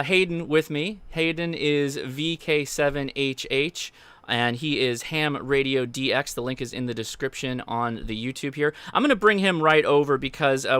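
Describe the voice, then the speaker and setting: A man's voice: on the high side, pushed and bright, smooth, no echo. A middle-aged man hosting a casual livestream interview, speaking close to the mic at a desk indoors. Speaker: host of a ham radio livestream. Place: indoors at a desk, live stream.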